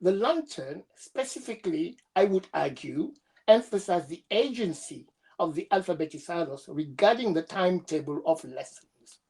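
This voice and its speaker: A young woman with a cold, presenting her doctoral research over a video call in a steady, measured reading voice with small hesitations. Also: on the low side, husky. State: has a cold. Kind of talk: reading a prepared academic presentation.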